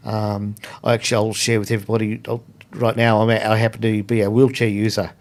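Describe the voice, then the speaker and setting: A man's voice: on the low side, flat delivery, fast talker. A man hosting a radio panel discussion, prompting guests in a conversational way. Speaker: radio host.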